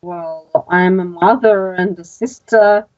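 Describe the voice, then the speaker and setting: Woman in her thirties, adult student, careful slow reader, dry dull call audio. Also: fairly low pitch, fairly even intonation, a little mellow, no echo. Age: 30s. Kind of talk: reading aloud in class. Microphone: video call.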